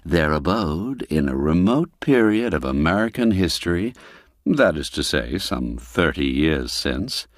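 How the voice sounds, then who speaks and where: Male narrator for literary folk storytelling: low register, very animated melody, formal and measured phrasing. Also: no echo. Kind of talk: scripted literary narration of a classic folk tale.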